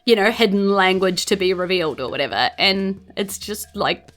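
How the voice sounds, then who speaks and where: A woman with a New Zealand accent speaks in a bright, forward voice as she runs a tabletop game. She narrates off the cuff in loose, chatty phrasing, with faint music underneath. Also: mid-range voice, expressive, normal speed. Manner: informal, conversational, hedging with "like" and "you know". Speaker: game master.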